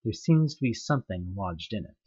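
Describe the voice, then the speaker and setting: A man's voice: lowish voice, lively intonation, fairly quick, warm tone, slightly rough, highs cut off. A man narrating a horror webcomic audioplay in the second person, telling it with tense, dramatic questions. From United States.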